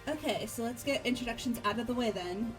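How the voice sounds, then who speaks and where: A woman's voice: a little high, brisk, low-quality recording. A woman reading out character dialogue as part of a game playthrough with friends, music behind her.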